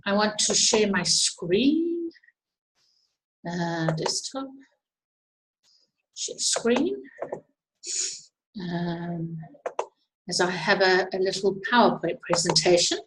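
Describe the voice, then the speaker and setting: A woman's voice: on the low side, expressive, takes it slowly, pauses often, slight background noise. A middle-aged woman with a South African accent, presenting informally as the host of an online webinar. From Zimbabwe and South Africa. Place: indoors at a computer, online webinar. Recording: echoey room on computer microphone.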